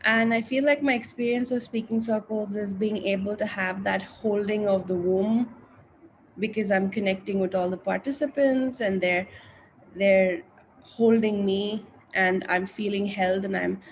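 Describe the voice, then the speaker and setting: A woman's voice: medium pitch, fairly even intonation, unhurried, rough recording. A woman giving a reflective, introspective personal testimonial, with faint noise on the recording.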